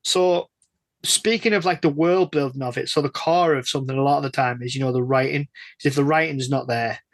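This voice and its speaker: A man's voice: mid-range voice, steady tone, a little fast, dry recording. A man with a British accent interviewing casually, wordy and tentative, repeating words as he builds his questions. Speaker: podcast interviewer, stand-in host.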